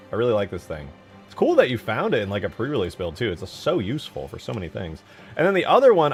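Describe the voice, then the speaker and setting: A man giving casual screen-share commentary as a game modder, thinking aloud as he works. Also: on the low side. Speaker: game modder showing work in progress.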